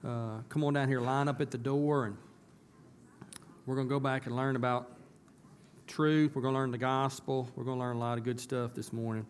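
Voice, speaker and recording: A hoarse man, a pastor talking to his congregation in a casual, folksy way that turns emphatic. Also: mid-range voice, steady tone, moderate pace, a little hiss or hum. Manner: folksy, conversational, rising to emphatic. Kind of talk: casual church announcements and sermon. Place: church sanctuary.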